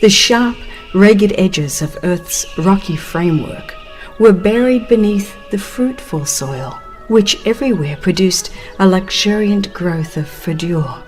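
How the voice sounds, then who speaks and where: A woman reading a religious book as a dramatized audiobook narrator, in a solemn, measured and expressive manner. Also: medium pitch, faint music behind.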